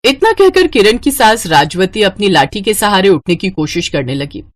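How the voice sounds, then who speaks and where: Expressive woman narrator, lively intonation. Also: mid-range voice, normal speed. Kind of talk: scripted storytelling narration for animated moral story.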